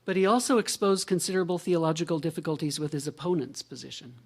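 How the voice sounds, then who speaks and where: A male professor giving a measured, scholarly lecture and answering questions. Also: a little high. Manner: measured, scholarly, thinks aloud with restarts.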